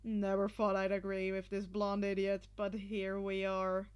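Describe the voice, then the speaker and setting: A woman's voice: mid-range voice, monotone, unhurried, clean tone, lightly acted. A woman voicing a dry, sarcastic teenage boy in an anime fan story, with a laconic, grumbling delivery. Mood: grudging, wry.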